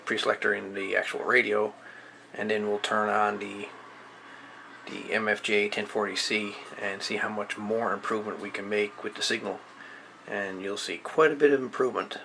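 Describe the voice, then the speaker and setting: A man giving a casual, unscripted product demonstration as an equipment reviewer, speaking haltingly as he searches for words. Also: on the low side, gravelly, sharp tone, a little hiss or hum. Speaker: amateur radio equipment reviewer.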